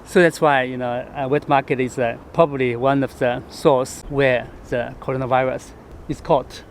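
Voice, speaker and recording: A man from Chengdu with a Chinese accent, a travel vlogger talking casually to camera outdoors, halting and full of fillers. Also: mid-range voice, steady tone, noisy background. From Chengdu, China. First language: Sichuanese. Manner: chatty, halting, with frequent fillers and laughs. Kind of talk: casual vlog talk to camera. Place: outdoors in the city.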